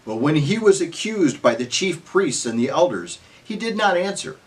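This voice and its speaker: A man's voice: fairly high pitch, big pitch swings, moderate pace. A man giving a scripted dramatic scripture reading as narrator, in a solemn, emphatic storytelling manner.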